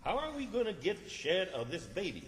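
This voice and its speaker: A man's voice: high-pitched, wildly swooping pitch, a little fast. A man playing a bossy, scheming country fellow with a rural Southern American accent, grumbling in an old-time radio comedy. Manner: grumbling, sarcastic, folksy. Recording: stage performance in reverberant hall.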